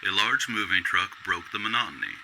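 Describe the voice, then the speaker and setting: A man reading scripted narration for a radio drama in a measured, descriptive storytelling manner. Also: deep voice, very animated, gravelly, rough recording. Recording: loud background noise.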